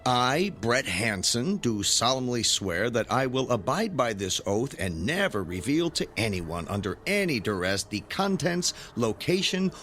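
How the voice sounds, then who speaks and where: A man's voice: mid-range voice, a bit bright, slight background noise. A man acting in a radio drama as a skeptical, exasperated everyman with a wry, flippant way of talking. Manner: wry, sardonic delivery.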